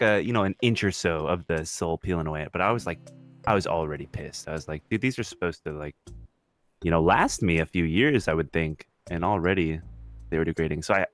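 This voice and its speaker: A young man co-hosting a podcast in casual chat, talking in a slangy, mildly profane way. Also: low-pitched. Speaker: podcast co-host.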